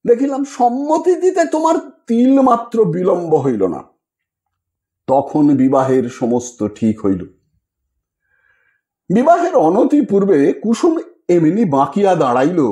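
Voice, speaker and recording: A man's voice: fairly high pitch, warm tone, echoey room. A man narrating a literary story as an audiobook reader, in a dramatic style.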